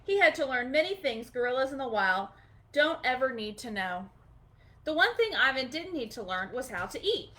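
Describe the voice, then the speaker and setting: A woman's voice: sits high, sing-song, moderate pace, sharp tone. A woman hosting a storytime, reading a picture book aloud expressively for children, with short chatty asides.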